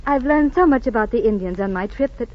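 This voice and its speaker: A woman's voice: a little high, animated, brisk, natural voice. An actress playing a tender-hearted, idealistic lady writer in 1940s radio drama, effusive and sentimental. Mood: effusive, heartfelt. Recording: muffled old radio recording.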